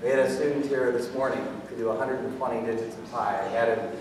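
A middle-aged American man giving a live talk on stage and taking audience questions, animated and jokey as he thinks aloud. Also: medium pitch, fast. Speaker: guest speaker, mathematician performer. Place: theatre stage, indoors. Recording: echoey hall from far off, noisy.

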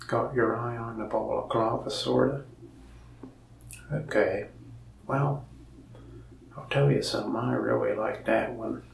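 A young man in a soft-spoken ASMR roleplay as a shop salesman, whispering and rambling in a folksy storytelling way. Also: mid-range voice, steady tone, a little slow, gravelly, pauses often, reverberant, recorded from a distance, a little hiss or hum, poor audio.

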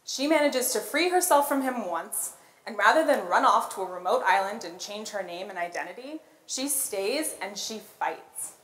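A woman's voice: mid-range voice, lively intonation, normal speed, a bit bright. American woman giving an echoey keynote. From born in Colombia, grew up in Los Angeles. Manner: conversational, poses questions to the audience. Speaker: conference keynote speaker, product designer. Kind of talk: rehearsed conference talk with storytelling. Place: on stage in a large auditorium. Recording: roomy echo of an auditorium.

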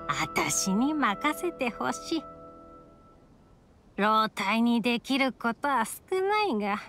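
Slow-talking old granny. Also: female voice, on the high side. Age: older. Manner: slow, old-fashioned elderly phrasing. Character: kindly, proud old granny caretaker, archaic elderly speech. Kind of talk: video game character acting.

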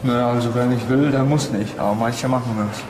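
A man's voice: mid-range voice, fairly even intonation, fairly quick. A man in prison answering questions hesitantly, searching for his words. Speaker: inmate. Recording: echoey, noisy corridor.

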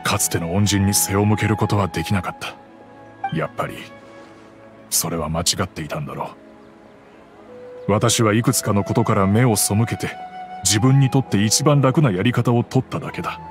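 An actor gives a kind middle-aged father with a lowish, steady voice his low register. He speaks in a calm, reflective way, full of quiet regret, as faint game music plays underneath. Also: normal speed, pauses often, close to an everyday voice. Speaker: wealthy father recounting the past. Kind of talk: game cutscene voice acting.

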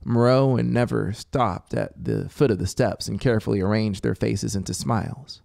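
A man in his thirties narrating fiction dramatically, with measured, deliberate phrasing and pauses, close on a studio microphone. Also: lowish voice, fairly even intonation, creaky, a little mellow, dry recording. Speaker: audiobook narrator. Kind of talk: dramatic scripted story narration. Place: small home music studio, indoors. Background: music soundscape.

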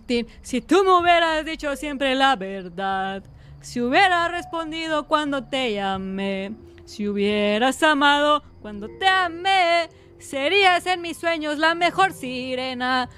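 A woman's voice: high-pitched, pushed and bright. A woman with a Mexican accent commentating a horror game as a streamer, dramatic and teasing, sometimes breaking into song. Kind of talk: gaming livestream commentary.

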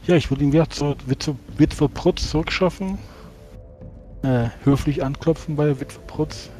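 A man playing a tabletop role-playing game, talking in character in a measured, deliberating way. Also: fairly high pitch, warm tone, soft background music.

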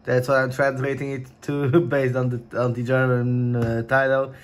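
A young man whose first language is Portuguese, chatting casually at home in his gaming room, with lots of filler words and sudden excited bursts. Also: mid-range voice, normal speed, soft background music. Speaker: card opening vlogger. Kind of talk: casual unboxing chat. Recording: faint crinkling and desk noise.

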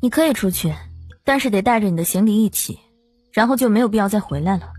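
A woman's voice: medium pitch, lively intonation, quick pace, darkish tone, slightly rough, no echo, soft background music. A woman playing a cold, haughty young female executive in an audiobook, curt and mocking. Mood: cold, dismissive.

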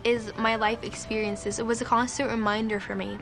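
Young woman, late teens, from Syria, higher pitch, personal interview style, music behind. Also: little pitch movement, fairly quick. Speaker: documentary subject, high school student. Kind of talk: personal documentary interview and casual chat.

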